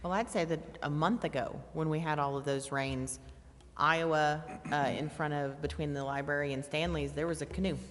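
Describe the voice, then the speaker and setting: A woman in her forties speaking informally and haltingly at a public meeting. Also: sits low, fairly even intonation, slight background noise. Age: 40s. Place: council chamber. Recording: reverberant hall.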